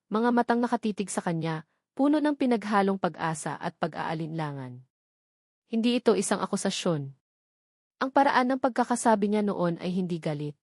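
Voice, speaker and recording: A woman's voice: mid-range voice, a little mellow, no room sound, clean studio sound. A woman with a Filipino accent reading a scripted, dramatic love-story narration.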